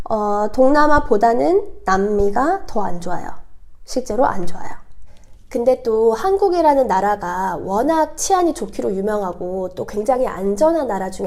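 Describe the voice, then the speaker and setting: A woman from South Korea chatting casually to viewers as a vlogger, her voice hoarse and congested from a cold, explaining things in a talkative way. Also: fairly high pitch, darkish tone. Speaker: vlogger hosting own channel.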